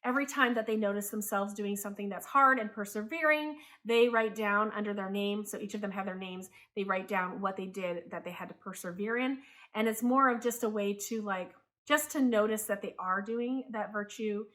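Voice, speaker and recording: A woman in her thirties who grew up in Taiwan, a homeschooling parent talking casually to the camera, chatty and quick to correct herself. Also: mid-range voice, a bit bright. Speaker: homeschooling parent and channel host.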